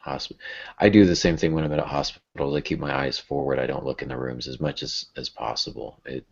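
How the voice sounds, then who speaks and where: A man speaking hesitantly in a casual, reflective podcast conversation, halting and repeating words as he goes. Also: rumbling low, fairly even intonation, creaky. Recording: dull, muffled lo-fi audio.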